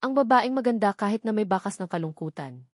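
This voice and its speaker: Woman narrator reading a dramatic story, medium pitch, no room echo. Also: animated, fast talker, studio quality.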